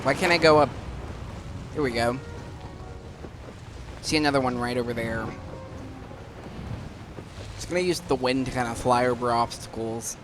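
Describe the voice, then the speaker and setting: A man's voice: mid-range voice, moderate pace, long pauses. A man giving casual commentary as the host of a gaming video. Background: game music.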